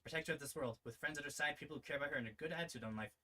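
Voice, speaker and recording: A man voicing a stoic, reserved female character in a terse, measured, deadpan way while reading a visual novel. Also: fairly high pitch. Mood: solemn. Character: stoic, terse, reserved woman burdened by order.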